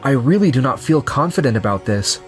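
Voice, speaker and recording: A man's voice: mid-range voice, very animated, a little fast, faint music behind, natural voice. A man voicing a humble, appreciative young company boss in a scripted manga dub, polite and sincere. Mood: unsure, fond.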